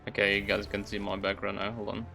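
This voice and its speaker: A man giving casual live game commentary, reacting haltingly as he talks to himself and his viewers. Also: on the low side, monotone, creaky, bright, forceful tone, faint music behind. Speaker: game streamer.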